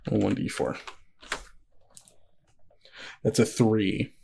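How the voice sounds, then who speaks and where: A man working as game master, explaining rules and narrating scenes in an informal, halting way. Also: lowish voice, lively intonation, gravelly, warm tone, a little hiss or hum. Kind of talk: casual actual-play narration.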